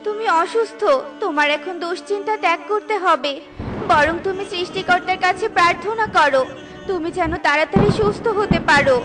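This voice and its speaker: Plaintive, very high mother bird played by a woman. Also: a little fast, over music. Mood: worried. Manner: plaintive, lamenting. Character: worried, tearful mother tailorbird, devoted wife. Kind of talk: melodramatic children's cartoon acting.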